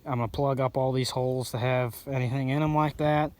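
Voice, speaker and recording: A man's voice: medium pitch, steady tone, brisk, darkish tone. Sniffly man rambling about repairs. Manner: rambling, blunt, self-correcting. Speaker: hobby mechanic vlogger. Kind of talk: casual narration over repair footage.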